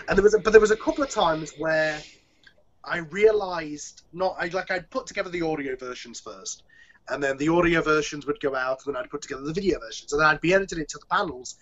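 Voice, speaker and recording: A man's voice: on the high side, sharp tone. A man hosting a casual group chat on an online call, talking in a rambling, hesitant way. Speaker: host, audio drama producer. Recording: dull lo-fi call audio.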